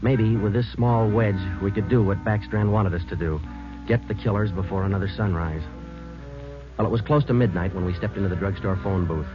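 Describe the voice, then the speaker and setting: An actor plays a world-weary police sergeant in a 1950s radio drama. His voice is very low and his delivery brisk, clipped and deadpan. Music sits behind the muffled vintage recording. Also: lightly acted. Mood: matter-of-fact.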